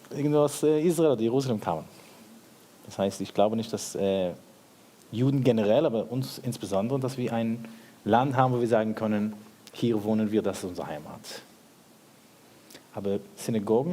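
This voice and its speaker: A man speaking as a panel guest in a discussion, thoughtful and hesitant, with frequent pauses. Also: medium pitch, warm tone. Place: event hall with audience.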